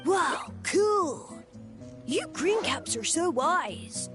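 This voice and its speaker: A woman's voice: extremely high pitch, sing-song, drawn-out words, music in the background, exaggerated voice. A woman voicing a dim, fawning, easily impressed little mushroom creature in a video game, simple-minded and gushing. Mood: admiring.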